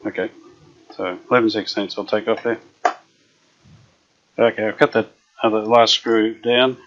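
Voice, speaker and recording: A man restoring an old radio, chatting casually as he works, unhurried and full of fillers. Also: lowish voice, steady tone, fairly bright tone, a little hiss or hum. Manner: unhurried, thinking aloud with many fillers. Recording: dull lo-fi workshop recording.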